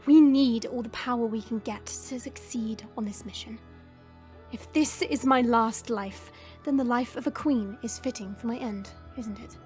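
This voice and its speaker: A woman playing a regal dragon queen in a scripted audio roleplay, earnest and resolute, with formal, deliberate phrasing. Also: fairly high pitch, a little mellow, lightly acted. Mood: grave resolve. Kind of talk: scripted audio roleplay acting with first-person narration. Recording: music behind the voice. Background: background music.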